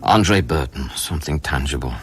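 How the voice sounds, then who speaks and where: An actor playing a haunted, weary scientist who narrates his memories in a measured, introspective radio play style. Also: rumbling low, expressive, music in the background. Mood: somber, reflective. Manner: brooding, measured, literary.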